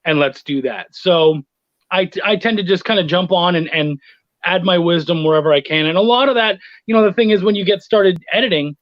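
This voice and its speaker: A man's voice: high voice, fairly quick, dry recording. A middle-aged man chatting casually as an interview guest, telling anecdotes and acting out bits of dialogue, on lo-fi livestream audio. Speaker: guest, freelance editor and writer.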